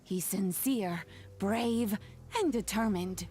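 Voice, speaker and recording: Game casting: actress as kindly elderly granny, slow delivery, medium pitch, affectionate and proud. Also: female voice, mellow, natural voice. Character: kindly, proud old granny, fond and unhurried. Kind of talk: video game voice acting.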